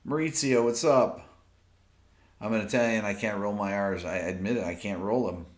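A man in his fifties hosting a casual late-night stream from his home music studio, reading viewer questions off his phone in a relaxed, rambling way with lots of fillers. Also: fairly low pitch, moderate pace. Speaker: YouTube music channel host. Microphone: off-camera mic, seated at desk with phone. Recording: roomy studio echo.

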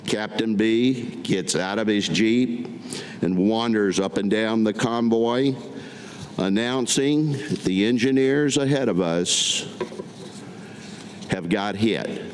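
An older man giving a staged poetry reading at a podium, deliberate and pausing between short phrases. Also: lowish voice. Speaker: veteran reading his own writing. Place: indoor meeting room at a podium. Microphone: podium gooseneck microphone. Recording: echoey hall with audible room noise.

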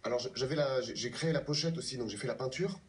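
A man of thirty-five from Paris explaining himself haltingly as a guest in a TV interview. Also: medium pitch. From France, born in Paris. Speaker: guest, pianist and composer. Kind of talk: live TV interview. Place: TV news studio, replayed from a television. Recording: distant, off a TV screen, faint music.

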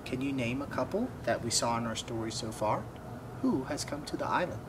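A man's voice: medium pitch, fairly quick. Young man reading aloud, noisy outdoor recording. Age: young adult. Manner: expressive, asks the listener questions. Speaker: storybook reader. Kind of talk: children's read-aloud with asides to young listeners. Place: outdoors by a wooden fence. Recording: clearly noisy outdoor ambience.

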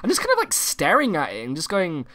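A young man from the United Kingdom, hoarse and croaky from a cold, hosting a casual gaming-channel vlog and rambling with many fillers and restarts. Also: on the high side, huge pitch swings, quick pace, fairly bright tone. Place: home recording room.

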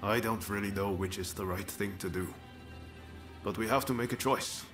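A man's voice: on the low side, normal speed, music in the background, natural voice. An actor playing an earnest, thoughtful young samurai swordsman in a video game cutscene.

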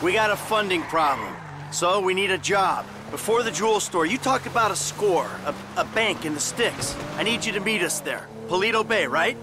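A man's voice: sits high, animated, moderate pace, few pauses, music in the background. A man playing a bitter, middle-aged retired bank robber in a game, with an American accent and a sarcastic, profane way of talking. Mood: businesslike. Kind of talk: dramatic video game acting.

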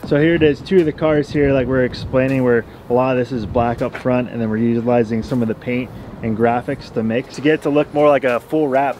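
A man's voice: medium pitch, a little mellow, slight background noise. A man in his thirties talking casually in a laid-back, slangy way, like a shop vlog host. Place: in and around an auto shop and yard. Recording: music bed behind the voice.

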